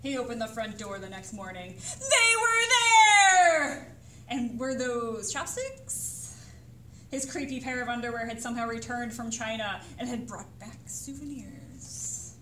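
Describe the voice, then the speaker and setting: Woman, storybook narrator, expressive and suspenseful, bright tone, echoey room, faint noise. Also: on the high side. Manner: expressive, suspenseful pauses and sudden exclamations. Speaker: children's librarian reading a picture book. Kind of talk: dramatic storybook read-aloud for children. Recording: roomy echo, distant mic, faint noise.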